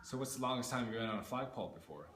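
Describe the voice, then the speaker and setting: A man's voice: mid-range voice, expressive, racing, a bit bright, slight background noise. A man reading a scripted mock news report as the anchor, with small stumbles in his reading. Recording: roomy echo, music underneath.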